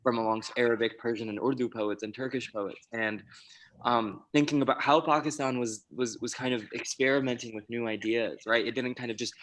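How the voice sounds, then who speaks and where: A man's voice: mid-range voice, steady tone, brisk, a bit bright, poor audio. An American man speaking as a panel guest, earnest and lecturing, often repeating his words. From United States. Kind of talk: earnest discussion.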